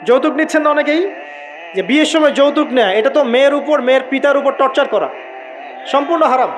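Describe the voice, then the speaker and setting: High-pitched Bangladeshi male preacher over music. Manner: emphatic, repeats key phrases, asks rhetorical questions. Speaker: Islamic preacher. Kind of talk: waz sermon to an audience.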